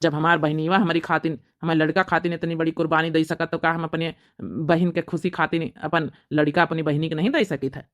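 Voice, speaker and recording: Man acting an earnest, bright-voiced Awadhi elder brother. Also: a little high, little pitch movement, a little fast, no echo, clean studio sound, natural voice. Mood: relieved, tender. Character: earnest self-sacrificing brother giving up his son, emotional. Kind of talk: homemade cartoon family drama voice-over.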